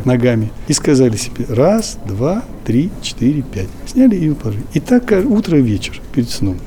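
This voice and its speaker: A man's voice: mid-range voice, warm tone, a little hiss or hum. A man speaking as an interviewed doctor, explaining and instructing in informal spoken language, in a muffled recording.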